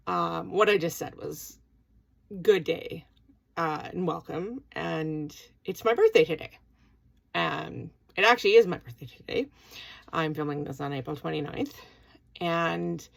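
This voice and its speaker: A woman's voice: sits low, gravelly. A woman in her forties from Canada, talking casually to camera in a rambling vlog with plenty of ums and restarts. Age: 40s. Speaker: vlogger, part-time university student.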